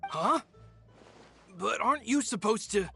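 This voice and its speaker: An actor playing a loyal, helpful male gang member in a game, calm and respectful. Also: sits high, a little slow, creaky, soft background music, full character voice. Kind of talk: game voice acting.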